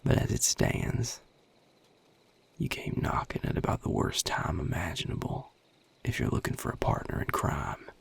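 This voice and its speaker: A man playing a weary, protective vampire sire in an intimate audio roleplay, with a Southern US accent, a husky, gravelly low voice and a folksy, guarded drawl. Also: wildly swooping pitch, normal speed, full character voice. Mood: weary, resolute. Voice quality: very deep, gravelly, husky and breathy. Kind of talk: audio roleplay drama, intimate one-sided dialogue.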